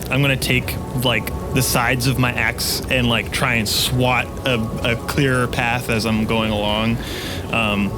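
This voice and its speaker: A man's voice: medium pitch, level tone, a little slow. A man playing a tabletop game, casually describing his character's actions in an informal, hesitant way over music and rain. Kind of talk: casual improvised role-play.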